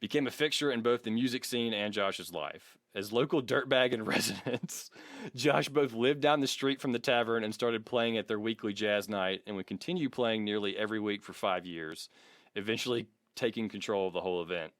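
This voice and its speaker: A man's voice: on the low side, moderate pace, fairly bright tone, no room sound. A man hosting a podcast, mixing jokey mock-formal reading with casual, wry, self-deprecating banter. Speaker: podcast host.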